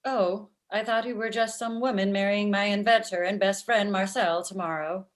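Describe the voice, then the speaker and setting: A woman acts out a sarcastic female robot in a comedy. She speaks in a stilted, deadpan way, bluntly and without sympathy, at a middle pitch. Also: normal speed, close to an everyday voice. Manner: slightly stilted, deadpan, like a digital assistant. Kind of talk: table read of a comedy script. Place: indoor room at home, video call. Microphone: computer mic on video call.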